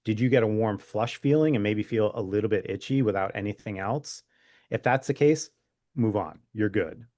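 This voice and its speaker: A man's voice: on the low side, normal speed, a little mellow, no echo, studio quality. A man speaking as a physician guest expert in an interview, explaining in an informal, conversational way with wry humor.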